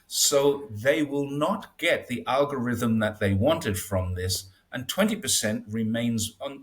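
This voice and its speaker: Casting note: man in casual interview, lively swooping pitch, wry, acts out quotes. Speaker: interview guest. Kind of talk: casual conversational commentary.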